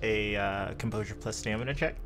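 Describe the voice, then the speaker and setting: A man improvising second-person narration as the game master of a tabletop roleplaying game, with deliberate, dramatic phrasing. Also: lowish voice, over music.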